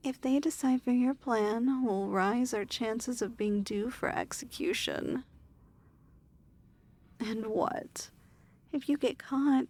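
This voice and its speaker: Slow, imperious female demon lord, acted by a woman. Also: a little high, darkish tone. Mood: wary. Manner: formal, stilted, measured diction. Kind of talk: ASMR fantasy roleplay acting.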